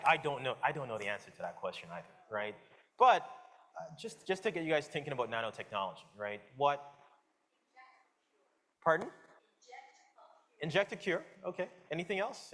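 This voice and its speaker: A man in his forties from the Caribbean with a slight Caribbean accent, giving a relaxed public science lecture and talking with the audience, with many fillers and restarts. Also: on the high side, brisk, long pauses. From Guyana, raised in Jamaica. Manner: casual, friendly, asks audience questions, many fillers and restarts. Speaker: guest lecturer, professor.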